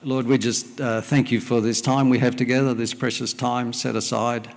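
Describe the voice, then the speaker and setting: Middle-aged guy from wales preaching, welsh his first language, lots of uh pauses, voice ringing round a big church. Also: medium pitch, little pitch movement. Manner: plain, unhurried, with frequent "uh" pauses. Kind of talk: sermon with prayer. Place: church service. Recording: long hall reverb.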